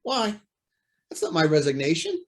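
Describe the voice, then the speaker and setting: Radio drama judge, acted by a man: proud, indignant, formal, fairly high voice with lively swings. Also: moderate pace. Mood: indignant, agitated. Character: proud, indignant male judge under pressure. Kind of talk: old-time radio drama acting.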